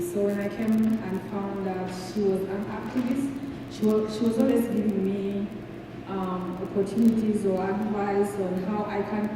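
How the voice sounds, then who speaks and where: Ugandan woman in a very echoey hall. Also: mid-range voice. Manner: halting, searching for words. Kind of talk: eulogy, informal personal tribute. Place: church hall, speaking to a gathering. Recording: echoey hall from far off, noisy.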